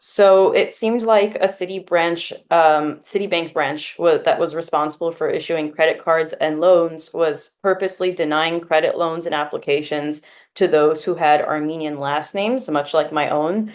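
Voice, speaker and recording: A woman's voice: deep voice, fairly even intonation, normal speed. A woman in her twenties, a law student from California, answering interview questions in an earnest, explanatory way with occasional fillers. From Glendale, California, US.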